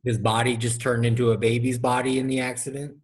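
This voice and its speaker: A man's voice: medium pitch, flat delivery, quick pace, husky. A man in a casual podcast conversation, chiming in with questions and comments. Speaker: podcast panelist.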